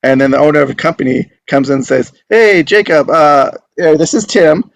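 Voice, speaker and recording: A man's voice: fairly high pitch, animated, moderate pace, vocal fry, no echo. A man hosting an online table read, chatting in an informal, appreciative way. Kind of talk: casual group video-call chat.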